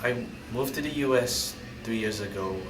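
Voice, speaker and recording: A man's voice: mid-range voice, normal speed, music in the background. A young man from Indonesia telling his own story in an interview, hesitant, often restarting and searching for words. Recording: roomy, distant mic, ambient noise.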